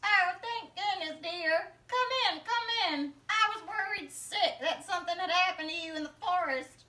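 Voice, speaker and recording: A woman's voice: very high voice, moderate pace, bright, forceful tone, pitched above the performer's own voice. A woman voicing a kindly, fretful old grandmother in a puppet show. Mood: relieved. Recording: echoey room, distant from mic.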